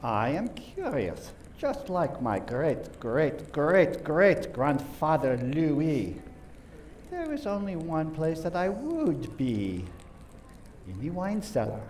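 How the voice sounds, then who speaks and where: Guy doing a puffed-up snob for a radio play, super slow n dramatic, pitch all over the place. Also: huge pitch swings, a little mellow, slight background noise, heavily acted. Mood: boastful, grandiose. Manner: theatrical, drawn-out, repetitive emphasis. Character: pompous, self-important Frenchman boasting of his famous ancestry. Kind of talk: community theater radio play acting.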